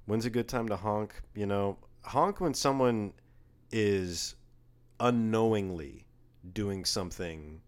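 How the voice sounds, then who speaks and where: A young man co-hosting a casual talk show, jokey and animated, getting louder when he gets worked up. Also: slow, smooth. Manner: animated, jokey, rising in pitch and volume when riled. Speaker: podcast co-host. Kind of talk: casual chat.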